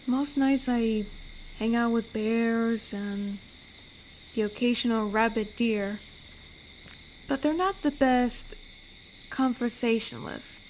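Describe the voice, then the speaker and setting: A woman's voice: fairly high pitch, a little mellow, slight background noise, poor audio. A woman playing an amiable, teasing werewolf who protects a forest, speaking in an intimate, conversational roleplay drama. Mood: warm, welcoming. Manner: unhurried, teasing, conversational with pauses. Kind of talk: roleplay audio drama, intimate one-to-one address.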